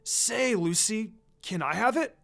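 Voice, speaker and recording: A narrator voicing an eager, friendly young ninja boy in a story, with an upbeat, excited manner. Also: medium pitch, takes it slowly, gravelly, voice pushed up, full character voice.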